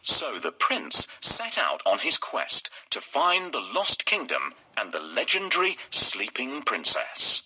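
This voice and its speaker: A man's voice: mid-range voice, wildly swooping pitch, slow pace, few pauses, sharp tone, slightly rough, low-quality recording. A man reading a fairy tale as a scripted storybook narration.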